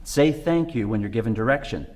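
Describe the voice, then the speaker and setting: A man's voice: medium pitch, animated, brisk, darkish tone. A man presenting scripted instructional tips on acting.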